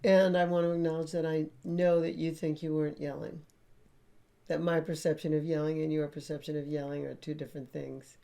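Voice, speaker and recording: A woman's voice: low voice, level tone, fairly quick, vocal fry, mellow. A woman co-hosting a relationship talk show who states her feelings and requests plainly and directly. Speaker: co-host. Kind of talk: live relationship talk show, role-play of a conflict repair.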